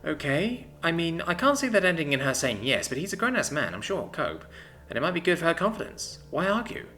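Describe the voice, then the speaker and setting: A man reading a story, voicing a bold, sharp-tongued young woman who teases and scolds her boyfriend. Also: a little high, a little fast, faint music behind, pitched above the performer's own voice. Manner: lively, sharp, teasing.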